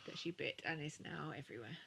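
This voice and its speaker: A woman's voice: deep voice, fast talker, creaky, a bit bright, a little hiss or hum. A woman guesting on a podcast, chatting casually and reacting bluntly to a TV episode.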